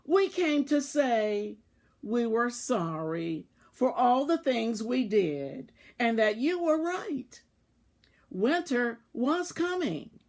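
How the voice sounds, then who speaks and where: A woman's voice: fairly high pitch, full character voice. A woman reading a storybook aloud, voicing sorry little meadow mice in a beseeching, emphatic manner. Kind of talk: children's storybook read-aloud.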